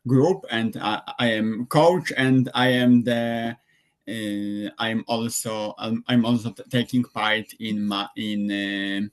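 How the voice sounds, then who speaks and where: A young man from Poland, introducing himself haltingly as a student running coach. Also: fairly low pitch, fairly even intonation. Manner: halting, searching for words. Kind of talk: self-introduction on a video call.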